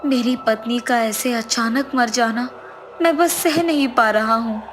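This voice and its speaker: A woman's voice: fairly high pitch, a little slow, soft background music, lightly acted. A woman voicing a grieving, easily frightened husband who is master of the house in a cartoon story. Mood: sad, musing.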